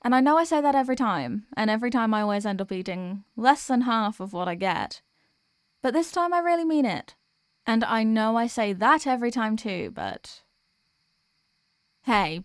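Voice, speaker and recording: Demoness girlfriend, played by a woman: tired office worker, tender and chatty, mid-pitched, intimate ASMR roleplay, very dry recording. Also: no echo, close to an everyday voice. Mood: tired, playful. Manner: chatty, affectionate, intimate address to a partner. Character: sweet, weary demoness girlfriend venting about office work.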